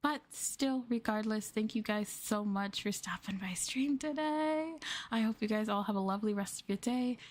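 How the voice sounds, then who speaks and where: A woman streamer chatting softly in an ASMR livestream style, gentle and hesitant, sometimes drifting into a whisper. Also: medium pitch, normal speed. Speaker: streamer, VTuber host.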